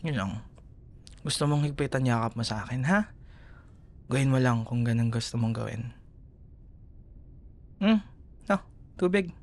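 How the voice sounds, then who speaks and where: A gentle young Filipino boyfriend, acted by a man, speaks at a very unhurried pace in a mid-range voice. He is protective and tender, murmuring soft reassurance as in an intimate ASMR roleplay. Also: medium pitch, lively intonation. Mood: tender, sleepy comfort.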